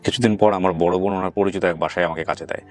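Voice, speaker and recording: A man with a Bangladeshi accent hosting and interviewing guests in a brisk, directing manner. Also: low-pitched, steady tone, faint music behind. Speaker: host.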